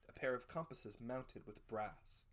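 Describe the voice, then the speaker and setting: A narrator giving a dramatic scripted reading of a classic adventure story, with expressive, theatrical emphasis. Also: medium pitch, unhurried. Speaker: audiobook narrator.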